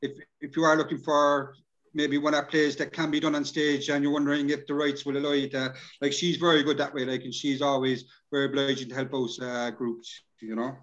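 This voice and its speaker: A man with an Irish accent explaining things casually and haltingly as a workshop speaker on a video call. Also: medium pitch, flat delivery, fairly bright tone, dull audio. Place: indoor room, video call.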